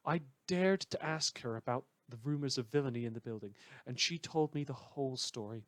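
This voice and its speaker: A man's voice: medium pitch, brisk, no echo, natural voice. A man voicing an opinionated, chatty radio listener's letter in a fussy, emphatic, declamatory way. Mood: chatty storytelling.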